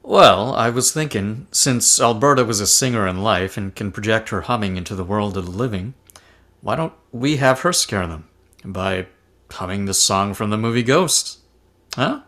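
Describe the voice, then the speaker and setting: A man's voice: on the low side, lively intonation, normal speed, fairly bright tone. A man playing an earnest, dorky, polite ghost in an online table read of a sitcom script. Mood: eager, sheepish. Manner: eager, rambling, polite. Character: chipper, earnest, dorky male ghost who loves movies. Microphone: video call.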